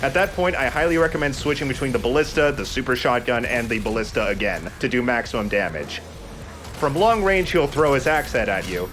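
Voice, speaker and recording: A man's voice: medium pitch, animated, a little fast, a bit bright, a little hiss or hum. A man commentating a video game casually, explaining things with mock-announcer flourishes. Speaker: let's play host and gamer.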